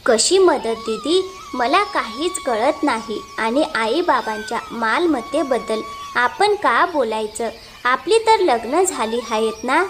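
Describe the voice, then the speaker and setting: A gentle, selfless young village wife voiced by a woman in an animated moral tale. The voice is high and melodic, earnest and respectful, over light background music. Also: slow. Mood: puzzled. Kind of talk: animated moral story dialogue.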